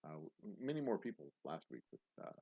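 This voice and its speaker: A man's voice: rumbling low, very animated, fairly quick, gravelly, narrow, tinny audio. Rambling, joking man hosting trivia. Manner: rambling, self-mocking jokes, frequent restarts. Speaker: host reading trivia questions on a livestream. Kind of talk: casual live-stream banter.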